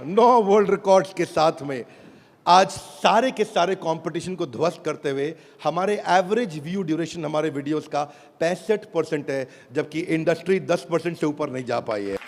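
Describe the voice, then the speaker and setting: A man's voice: fairly high pitch, moderate pace. A man with an Indian accent giving an emphatic motivational sales presentation on stage, calling out to his audience and repeating phrases. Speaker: business speaker presenting a product launch. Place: large event hall with live audience. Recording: echoing hall, audience noise, loud background.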